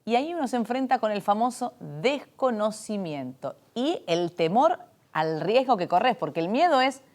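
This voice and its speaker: A woman with an Argentine Spanish accent hosting a talk, chatty and expressive, tossing in rhetorical questions. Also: on the high side, normal speed. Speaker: host. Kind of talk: conversational talk show.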